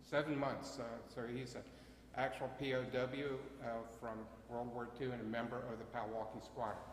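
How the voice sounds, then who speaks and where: A man running a ceremony as its emcee, with a loud voice and a halting way of talking, full of uhs and self-corrections. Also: mid-range voice, little pitch movement, a little hiss or hum. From Bucks County, Pennsylvania. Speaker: ceremony emcee and CAP wing commander. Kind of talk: ceremony address. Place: chapel. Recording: echoey chapel with long reverb.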